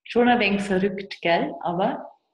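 A woman's voice: mid-range voice, measured pace. An older woman, talking personally as a guest counsellor on a video call. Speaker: guest, end-of-life and grief counsellor. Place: small room indoors. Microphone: computer mic on a video call. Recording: roomy video call sound.

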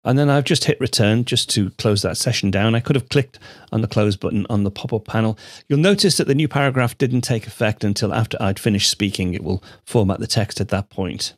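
A man hosting a computer tutorial, explaining steps in a measured, steady way on a studio microphone. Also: lowish voice, no echo. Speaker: tutorial host. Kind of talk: screencast software tutorial narration.

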